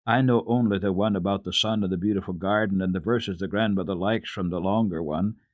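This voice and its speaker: A man's voice: deep voice, brisk, no room sound, phone-quality sound, natural voice. A man voicing an earnest, devout, trusting little girl in a solemn, simple and assured way, for a storybook reading. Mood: earnest, comforting.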